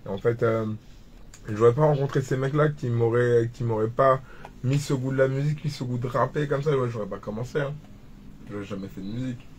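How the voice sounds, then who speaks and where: A man's voice: medium pitch, brisk, darkish tone, slight background noise. A man in his twenties from Cameroon, a rapper talking about his start in music in a casual interview, rambling with many restarts and fillers. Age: 20s. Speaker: rapper guest.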